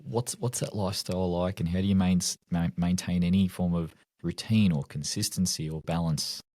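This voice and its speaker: A man's voice: low voice, normal speed, dark tone, dry recording, studio quality. A man hosting a podcast, asking conversational questions that he often restarts midway. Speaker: podcast host. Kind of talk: conversational interviewing.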